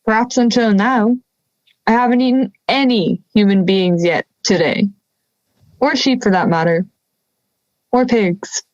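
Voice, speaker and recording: A woman's voice: medium pitch, a little slow, pauses often, a little mellow, dry recording, dull audio, close to an everyday voice. A young woman playing a friendly male wolf with a dry, sardonic manner in an audio drama. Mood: wry, defensive. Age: young adult. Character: friendly male big bad wolf, wry and gentle.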